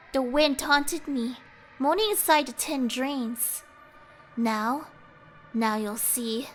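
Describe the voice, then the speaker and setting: High-voiced woman narrating horror, slowly. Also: a bit bright, a little hiss or hum, rough recording. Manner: suspenseful, theatrical. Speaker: let's player reading a visual novel aloud. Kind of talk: dramatic scripted narration of a horror story. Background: music.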